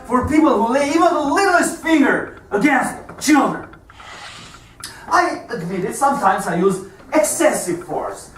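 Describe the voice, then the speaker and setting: A man's voice: very high voice, lively intonation, measured pace, fairly bright tone, exaggerated voice. A man playing a volatile, self-righteous police detective in a stage monologue, profane and mocking as he interrogates. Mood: seething, righteous. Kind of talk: theatrical monologue performance. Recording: roomy, distant mic, music behind.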